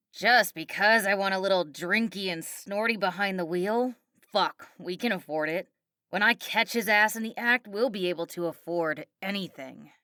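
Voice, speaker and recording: Woman acting out a domineering drug-addled mother, foul-mouthed, bitter, slangy ranting, forceful bright voice, dry audiobook sound. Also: medium pitch, no room sound, natural voice.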